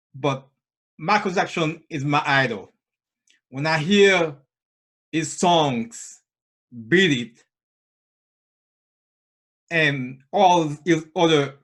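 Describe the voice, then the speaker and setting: A man's voice: on the high side, fairly bright tone. A man giving an earnest, plain-spoken impromptu club speech over a video call, often repeating himself. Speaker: Toastmasters speaker.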